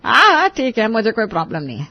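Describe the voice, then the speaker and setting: A woman's voice: on the high side, huge pitch swings, fast, sharp tone, no echo, full character voice. A woman playing a bossy, stern older Indian mother-in-law in a cartoon family drama, scolding and giving emphatic orders. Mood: grudging, questioning.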